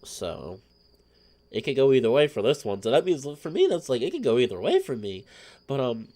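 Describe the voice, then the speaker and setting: A man's voice: medium pitch, huge pitch swings, fairly quick, warm tone. A slightly sick man hosting a let's play stream, chatting in a rambling, apologetic way. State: a little sick.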